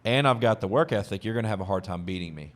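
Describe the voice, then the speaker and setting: A man's voice: on the low side, expressive, fast. A man hosting a business podcast in casual chat, talking emphatically and repeating his key phrases. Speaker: podcast host.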